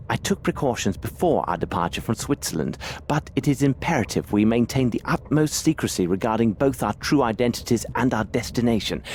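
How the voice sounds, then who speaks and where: A man playing a shrewd, composed Victorian detective in a video game, speaking in formal, deliberate phrases. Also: medium pitch, darkish tone, slight background noise, lightly acted. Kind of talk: video game dramatic acting.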